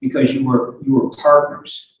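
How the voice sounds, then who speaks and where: A man's voice: mid-range voice, flat delivery, muffled recording, slight background noise. Echoey, distant man questioning in court. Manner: halting, repetitive, insistent. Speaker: defense lawyer questioning a witness. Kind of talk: courtroom cross-examination. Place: indoor courtroom.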